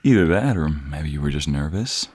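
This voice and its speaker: A playful village scoundrel in love, played by a man, with a deep, husky voice. His delivery is lively and teasing, full of affectionate banter for a romantic audio roleplay. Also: normal speed, full character voice. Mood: teasing, doting.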